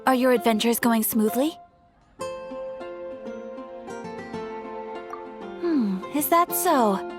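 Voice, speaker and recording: A woman's voice: on the high side, expressive, unhurried, music in the background. A woman voicing a polite, composed young guild receptionist in a fantasy video game, speaking formally and helpfully. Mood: helpful, inquiring.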